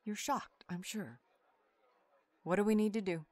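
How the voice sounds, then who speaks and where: A woman's voice: medium pitch, unhurried, a little mellow, no echo, natural voice. An actress playing a sassy, flirtatious part-wolf woman with a menacing edge, sardonic and playful, in an audio roleplay.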